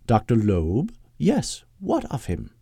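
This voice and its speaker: A man's voice: medium pitch, wildly swooping pitch, slow pace, creaky, mellow, husky, no room sound, clean studio sound, exaggerated voice. A man voicing a prim, imperious elderly British woman, a secretary in an audiobook story. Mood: haughty, icy.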